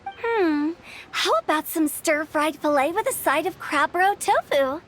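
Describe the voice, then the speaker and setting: A woman's voice: sits high, expressive, measured pace, a little hiss or hum. A woman playing a mischievous young funeral director in a video game, with a playful, theatrical, showy delivery. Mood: playful grumbling. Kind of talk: English video game voice acting.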